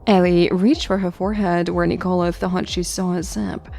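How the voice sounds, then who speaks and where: Dark, mellow-voiced woman narrator. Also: on the low side, flat delivery, normal speed, faint music behind. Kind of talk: scripted story narration.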